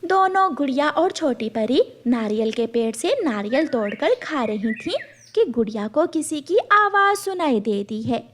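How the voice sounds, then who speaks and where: A woman's voice: high-pitched, very animated, measured pace, a little hiss or hum. A woman narrating a scripted children's story.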